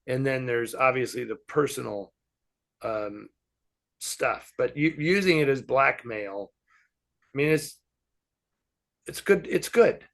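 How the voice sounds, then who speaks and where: Male host, creaky mid-pitched voice, conversational and animated, halting as he works through film talk. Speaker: host answering fan questions. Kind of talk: casual film discussion.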